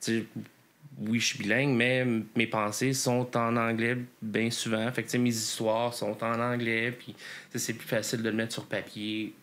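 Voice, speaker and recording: A man, a band member guest who explains his thinking with pauses and restarts. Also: medium pitch, flat delivery, a bit bright. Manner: thoughtful, halting explanations. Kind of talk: casual interview answers.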